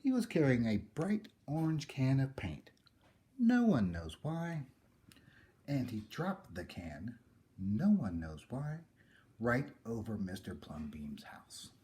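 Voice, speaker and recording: A man reading a children's picture book aloud in a theatrical way, with dramatic pauses. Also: mid-range voice, big pitch swings, dark tone. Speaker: story reader.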